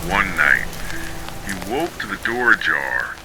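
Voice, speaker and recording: Deep-voiced man slowly narrating ghost stories. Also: sing-song, noisy background. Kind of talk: scripted horror narration for sleep listening. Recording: music bed with rain under narration. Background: music and rain sounds.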